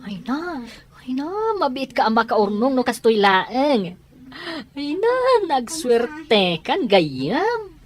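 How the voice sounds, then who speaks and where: A woman's voice: on the high side, sing-song, slow. A woman with an Ilocano accent playing a chatty, inquisitive friend who exclaims in amazement in an audio drama. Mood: amazed, curious.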